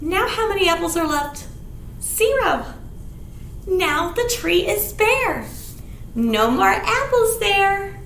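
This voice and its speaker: Children's storytime reader: woman, very high voice, slow sing-song delivery with big expressive emphasis, echoey noisy recording. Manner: sing-song, exaggerated, with dramatic gasps and simple explanations for little ones. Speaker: children's storytime presenter at a library. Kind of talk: picture-book read-aloud and rhymes for young children. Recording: roomy echo, clear background noise.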